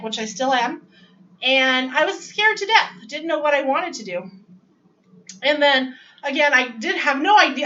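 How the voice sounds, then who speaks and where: A woman's voice: sits high, fairly bright tone, recorded from a distance, lo-fi sound. Chatty middle-aged woman telling her story. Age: 40s. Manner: chatty, laughs often, restarts phrases. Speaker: student giving a speech. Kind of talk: personal narrative speech. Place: home dining area.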